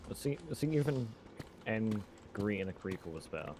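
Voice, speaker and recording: Low-voiced man in noisy game chat. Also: lively intonation, brisk. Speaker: gamer playing Minecraft with friends. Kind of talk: casual group game chat.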